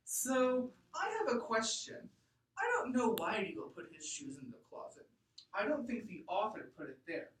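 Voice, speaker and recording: A woman teacher reading aloud to a class and explaining deliberately and expressively, thinking out loud. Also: a little high, sing-song, slight background noise. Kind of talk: read-aloud lesson to a class. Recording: echoey room, distant mic.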